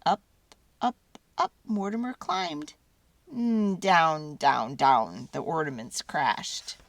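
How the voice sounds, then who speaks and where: Female storyteller, dramatic picture-book reading, slow pace, creaky edges, drawn-out words. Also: low voice, animated, no room sound. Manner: theatrical, deliberate phrasing with drawn-out words. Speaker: children's storyteller. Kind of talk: dramatic storybook read-aloud.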